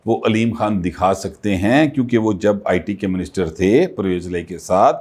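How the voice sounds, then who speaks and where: A man's voice: fairly low pitch, darkish tone. Chatty political commentator, man. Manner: conversational, many filler pauses.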